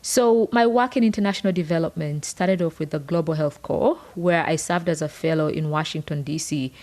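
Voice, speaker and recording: A woman's voice: deep voice, brisk, darkish tone. A woman with a Ugandan accent speaking as a podcast co-host in an earnest, articulate, explanatory way. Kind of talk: prepared podcast conversation.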